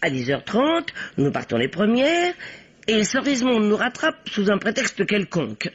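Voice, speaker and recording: An actress playing a bossy, self-assured older spinster who plays detective, speaking theatrically and with authority in an old radio play. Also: female voice, mid-range voice, big pitch swings, unhurried, breathy edge. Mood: assertive. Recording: dull, lo-fi old radio recording.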